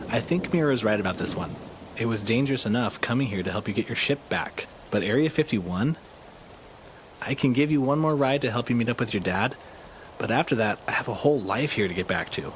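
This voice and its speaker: An actor playing a cautious, skeptical civilian helper in an audio drama, with a wary, wry manner. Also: male voice, mid-range voice, expressive, fairly quick, slight background noise, natural voice, low-quality recording.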